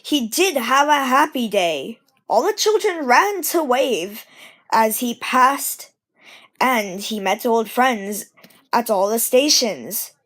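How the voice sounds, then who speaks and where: High-pitched woman reading a storybook slowly. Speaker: storybook reader. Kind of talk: storybook narration read aloud.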